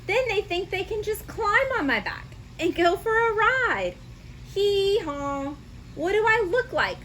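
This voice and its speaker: A woman's voice: very high voice, very animated, unhurried, a bit bright, a little hiss or hum, voice pushed up, heavily acted. A woman voicing a stubborn, huffy young donkey in a children's storybook, complaining in an exaggerated, indignant way. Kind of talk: children's storybook read-aloud.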